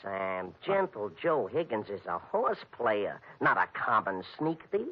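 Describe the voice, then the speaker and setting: A man's voice: fairly low pitch, big pitch swings, a little slow. An actor playing a flashy small-time American gambler in 1950s radio style, a man with a pompous, over-formal way with slang. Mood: rueful storytelling. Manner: stilted, over-formal underworld slang without contractions. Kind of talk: 1950s radio comedy-detective acting. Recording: dull, muffled vintage radio recording.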